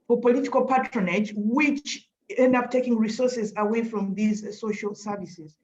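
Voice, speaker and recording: A woman's voice: a little high, fairly even intonation, moderate pace, darkish tone, a little hiss or hum. A woman, asking a panel an earnest, searching question during a webinar over a video call. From Uganda. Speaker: audience member asking a question. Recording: muffled video call audio.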